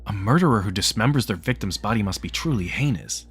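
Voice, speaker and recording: A man's voice: on the low side, lively intonation, fast talker, slightly rough, faint music behind, full character voice. A man voicing a timid, pitiable man who hesitantly pleads for caution, in a visual novel read-aloud. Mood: pleading.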